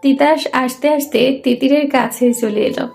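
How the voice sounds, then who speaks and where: A woman's voice: high-pitched, moderate pace, gravelly, darkish tone, soft background music. A woman narrating a scripted romantic story.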